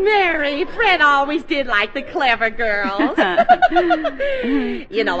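An actress playing a giggly, gushing, sweet-toothed large middle-aged woman in a 1940s radio comedy. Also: on the high side. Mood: giggly, coy. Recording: muffled, noisy 1940s radio broadcast.

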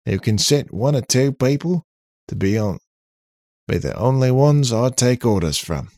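A man voicing a cheeky, cocky, talkative robot in an animated, boastful, slangy way for an audiobook. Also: mid-range voice, measured pace, no room sound, studio quality, voice pushed up. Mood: playful. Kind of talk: audiobook character voice.

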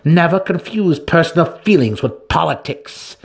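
A man voicing a tiny, strong dark elf matriarch, scheming and menacing, with a commanding manner, in a fantasy story reading. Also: fairly high pitch, animated, normal speed, vocal fry, pitched above the performer's own voice. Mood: threatening. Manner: commanding, threatening.

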